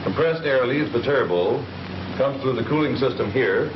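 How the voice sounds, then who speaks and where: A man's voice: mid-range voice, normal speed, natural voice. A man playing a confident American Army captain, plainly and methodically instructing pilots in the style of a 1940s training film. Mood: matter-of-fact. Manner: plain-spoken, methodical explaining. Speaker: flight instructor, military captain. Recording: noisy, dull 1940s film soundtrack.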